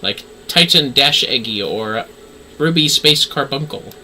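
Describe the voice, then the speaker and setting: A man's voice: mid-range voice, lively intonation, a little slow, sharp tone, slight background noise, poor audio. A man doing casual livestream game commentary, talking to himself as he plays and reading game text aloud. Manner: talks to self while playing. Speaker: livestream gamer, VTuber.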